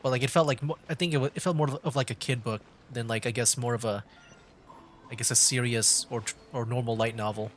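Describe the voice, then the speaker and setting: A man casually commentating on a game livestream in a hesitant, halting way, often repeating himself. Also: medium pitch, steady tone. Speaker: streamer playing a video game. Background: game music.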